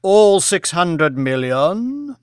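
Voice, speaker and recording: A male reader puts on a patronising British lord for an audiobook tale. He is exclamatory and condescending, with a raised pitch that leaps about, recorded dry and close. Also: big pitch swings, drawn-out words, smooth, no room sound, exaggerated voice. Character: patronising aristocratic British lord, outwardly jovial, inwardly ruthless.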